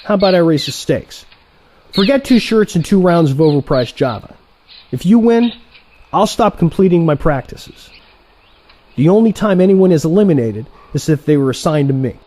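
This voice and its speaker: A man playing an excitable, cocky younger assassin in an audio play, talking in an animated, wheedling, sarcastic way. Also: fairly high pitch, moderate pace. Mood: challenging. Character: excitable, cocky younger assassin, male trainee turned rival.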